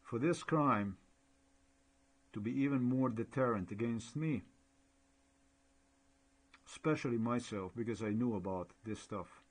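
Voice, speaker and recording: A man from Slovenia with a Slovenian accent, speaking halting, repetitive English as a non-native speaker while commenting over a shared screen. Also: mid-range voice, breathy edge. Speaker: blogger.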